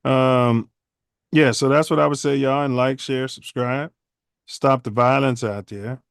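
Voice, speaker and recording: A man hosts a casual podcast, rambling along in loose slang. His voice is smooth and clear. The sound is dry and close. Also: medium pitch, fairly even intonation, measured pace, no echo. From Chicago. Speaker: podcast host. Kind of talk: casual livestream podcast chat.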